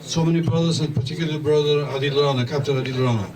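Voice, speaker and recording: A man's voice: fairly high pitch, fairly even intonation, very fast, noisy background. Albanian-native chaplain guy blessing everyone at an event, earnest, kinda far from the mic. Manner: earnest, blessing-filled delivery. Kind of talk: closing prayer and speech.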